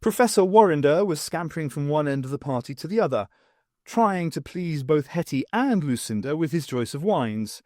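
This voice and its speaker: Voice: mid-range voice, animated, moderate pace, mellow, dry recording, studio quality. A narrator reading a prose story as a scripted audiobook.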